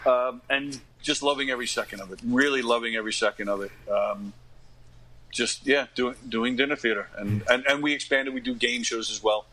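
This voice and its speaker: An American man in his fifties talking casually as an interview guest, in a rambling, self-effacing, animated way. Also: medium pitch, a little fast, slight background noise, rough recording. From United States. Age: 50s. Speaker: guest, actor and comedy dinner theater director.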